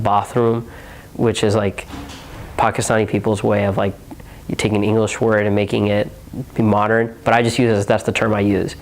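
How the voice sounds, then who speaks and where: A young man from Houston, Texas, a university student, answering interview questions casually with lots of "um" and "like.". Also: on the low side. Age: young adult. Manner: relaxed, heavy on filler words like "um" and "like".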